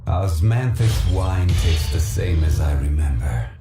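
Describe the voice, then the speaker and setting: A man's voice: deep voice, expressive, loud music behind the voice. An actor playing a dignified, composed ancient male consultant in a game, speaking formally and without hurry. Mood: wistful. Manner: formal, unhurried and stately. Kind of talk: game character voice lines. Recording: roomy game audio with background noise.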